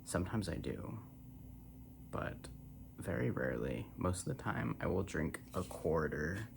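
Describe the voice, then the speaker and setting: Very creaky, soft-spoken ASMR rambler. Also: very deep voice. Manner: casual, meandering, trailing off into near-whispers. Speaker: ASMR content creator. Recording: noisy recording with tapping and humming. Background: hum, tapping and scratching sounds.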